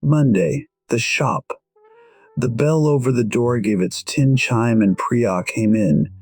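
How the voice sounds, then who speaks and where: A gentle man in his sixties, voiced by an actor, narrates his own story in an audio drama. He speaks slowly at a medium pitch, reflective, deliberate and wistful. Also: breathy edge, no room sound. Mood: reflective, tender. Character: reflective, gentle 62-year-old musician telling his story.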